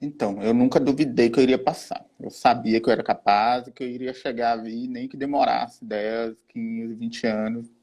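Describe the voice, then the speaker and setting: A man's voice: mid-range voice, fairly even intonation, moderate pace, few pauses, a little mellow. A Brazilian man in his twenties, a guest in an interview, speaking earnestly and with determination. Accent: Brazilian Portuguese. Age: 20s. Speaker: guest, newly approved judge. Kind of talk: conversational interview.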